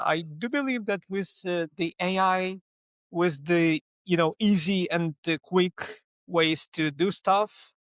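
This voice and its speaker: A man whose first language is Ukrainian, speaking as an interview guest in a halting way, with many fillers and restarts. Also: high-pitched, fairly bright tone, dry recording. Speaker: guest, localization company founder. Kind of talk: conversational interview.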